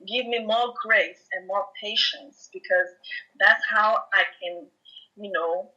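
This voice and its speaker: Dutch-speaking Belgian woman, bright lo-fi voice. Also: medium pitch, slow. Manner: emphatic, says "you know" a lot. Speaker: guest. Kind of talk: casual interview chat.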